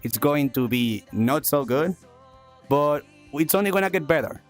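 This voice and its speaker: A man's voice: fairly high pitch, animated, moderate pace. Upbeat coughing male streamer over music. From South America. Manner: upbeat, repeats phrases, thanks viewers warmly. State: coughing, chest congestion. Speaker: streamer and talk show host. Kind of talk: casual livestream chat with viewers.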